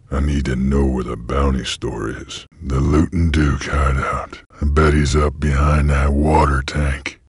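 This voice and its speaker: An actor playing a gruff, laconic bounty hunter creature in a video game, with a gravelly Western American cowboy drawl. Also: male voice, a bass voice, no echo, studio quality, exaggerated voice. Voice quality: gravelly, raspy.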